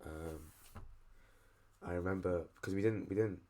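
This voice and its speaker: A young man from England with a very deep, low voice talks in an easygoing, rambling way. He sounds tired, and he keeps restarting his sentences as he tells a story on a casual podcast. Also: measured pace, mellow. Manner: rambling, laid-back storytelling with frequent restarts. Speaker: podcast host. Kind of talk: casual chat.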